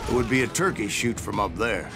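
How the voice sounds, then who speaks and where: Wry old Wild West gunslinger. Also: male voice, on the low side, brisk, creaky. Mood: animated storytelling. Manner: folksy, wry storyteller with frontier slang. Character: old male Wild West gunslinger telling his tall tales. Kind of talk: game voice acting, narrated yarn. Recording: music under the voice.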